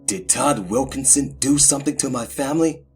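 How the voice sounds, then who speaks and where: A man's voice: mid-range voice, moderate pace, husky, faint music behind, voice pushed up. A man voicing a frightened, desperate young man in a dramatized horror story, pleading and demanding. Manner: pleading, loud outbursts.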